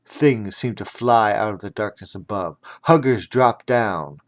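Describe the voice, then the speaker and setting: Slow-paced male children's storyteller. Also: fairly low pitch. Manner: theatrical, breaks off for explanatory asides. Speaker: author and audiobook narrator. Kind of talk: animated children's storytelling with chatty asides.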